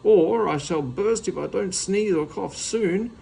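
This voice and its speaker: A man's voice: sits high, a little slow, creaky, warm tone, slight background noise, pitched above the performer's own voice. Man playing a flustered, childish storybook nephew. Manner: flustered, protesting.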